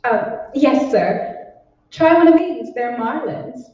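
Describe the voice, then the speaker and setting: Woman as a high-voiced perky secretary. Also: expressive, slow pace, dark tone. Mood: perky, polite. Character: chirpy, deferential office secretary in radio play. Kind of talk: old-time radio drama acting. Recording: reverberant hall, muffled, distant.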